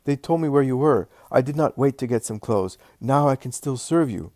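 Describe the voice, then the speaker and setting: A man's voice: medium pitch, lively intonation, normal speed, mellow, no room sound, studio quality, voice pushed up, lightly acted. A man voicing a grateful old slave, telling his story in eager bursts. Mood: overjoyed. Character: old male slave, newly healed, grateful.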